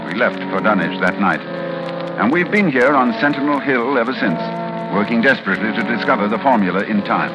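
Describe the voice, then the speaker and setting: Actor as a dread-driven scholarly doctor, dramatically animated. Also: male voice, on the low side, a little fast, lightly acted, rough recording. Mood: urgent, dread. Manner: grave, theatrical, intense. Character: dread-driven scholarly doctor narrating horror, urgent and desperate. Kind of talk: 1940s radio drama acting, dramatic narration. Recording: 1940s radio recording with loud music.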